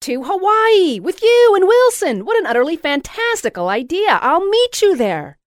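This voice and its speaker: A woman voicing an overexcited female literary agent who shouts in breathless exclamations and repeats her words. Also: very high-pitched, sing-song, moderate pace, dry recording, studio quality, voice pushed up. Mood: shouting.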